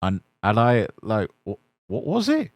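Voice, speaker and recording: A man voicing a cheeky, excitable little male tank engine in a storybook reading, with an impish, bouncy delivery. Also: lowish voice, dry recording. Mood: eager. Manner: bouncy, impish, quick to cry out. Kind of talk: storybook character voice.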